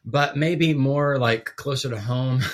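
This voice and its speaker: A man's voice: medium pitch, slow. Chatty man guest telling stories. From Guatemala. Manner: self-deprecating, rambling with restarts. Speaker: podcast guest, theology professor. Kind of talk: casual chat.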